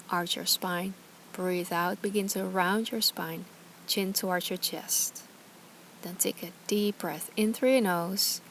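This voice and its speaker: A woman teaching yoga and guided meditation, speaking calmly and soothingly in short, gentle instructions. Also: mid-range voice, a little hiss or hum. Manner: calm, gentle, soothing guidance with short phrases.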